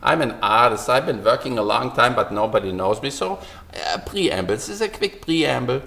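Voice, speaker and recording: A middle-aged man from Germany, rambling through a self-recorded vlog rant in an animated, self-mocking way that repeats phrases. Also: mid-range voice, moderate pace, gravelly. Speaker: artist vlogger.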